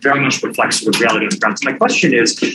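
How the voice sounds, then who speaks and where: A man's voice: medium pitch, expressive, very fast. A young man from Taiwan, a graduate student, asking a formal and articulate academic question in a seminar. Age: young adult. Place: seminar room audience. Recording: clear room noise.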